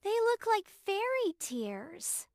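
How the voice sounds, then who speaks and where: A woman's voice: extremely high pitch, big pitch swings, takes it slowly, no room sound, clean studio sound, full character voice. A woman voicing a cheerful, brave, kind little girl princess in a children's bedtime story, with an earnest, reassuring manner. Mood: curious, eager.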